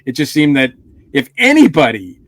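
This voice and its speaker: A man's voice: a little high, huge pitch swings, moderate pace, fairly bright tone, dry recording. Rambling man on a dry mic. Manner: rambling, hesitant, many fillers. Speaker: podcast co-host. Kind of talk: casual review chat.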